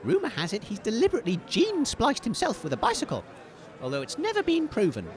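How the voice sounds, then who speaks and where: A man playing an excitable, good-natured comic sports commentator, enthusiastic but hesitant and easily flustered. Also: high voice, quick pace, a crowd in the background. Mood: cheerful announcing. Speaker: sports commentator and radio co-host.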